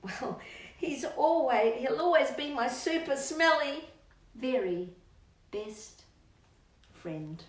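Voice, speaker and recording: A woman's voice: high voice, animated, slow pace, long pauses. A woman reading aloud to children in a playful, sing-song storybook style, drawing out words for emphasis and chatting to her pet. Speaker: children's storytime reader and channel host. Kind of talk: expressive storybook read-aloud with asides to a pet dog. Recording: roomy echo, distant microphone.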